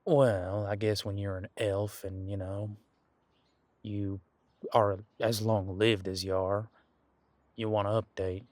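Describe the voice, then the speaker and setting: An easygoing ancient demon detective played by a man talks in a low voice, close and dry. He muses in a folksy, laid-back way, as if chatting with a companion in an audio roleplay. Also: flat delivery, slow pace, long pauses, darkish tone, no echo. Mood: relaxed, musing. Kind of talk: conversational one-sided audio roleplay.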